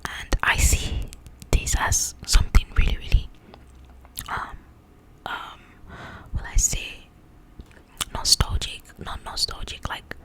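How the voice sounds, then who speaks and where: A woman speaking in a breathy, confiding whisper, chatting casually to the listener in an ASMR style. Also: slow pace, pauses often. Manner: confiding, conversational, checks that the listener follows. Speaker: host of an ASMR book channel. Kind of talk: ASMR, whispered chat about book highlights.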